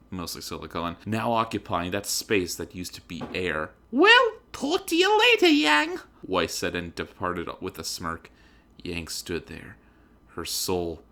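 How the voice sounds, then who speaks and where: A man's voice: low-pitched, fairly bright tone. A man narrating a comedic dramatic reading in a theatrical, emphatic, mock-serious manner.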